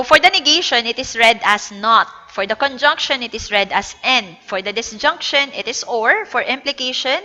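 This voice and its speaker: A Filipino woman lecturing, explaining patiently as she slips between English and Tagalog. Her voice is rather high and bright. The recording carries a long reverb. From Philippines. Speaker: teacher. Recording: echoey reverb.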